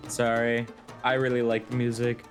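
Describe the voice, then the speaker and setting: A man's voice: medium pitch, completely flat delivery, normal speed, over music. A man giving casual commentary as he streams a video game.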